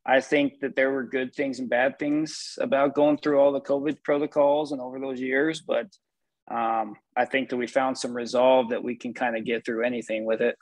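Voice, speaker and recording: Middle-aged man, guest on a call, reflective and measured, even monotone voice, dry close sound. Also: mid-range voice, no room sound. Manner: measured, reflective, many "you know" fillers. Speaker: sports performance executive, guest. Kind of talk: casual interview over a call.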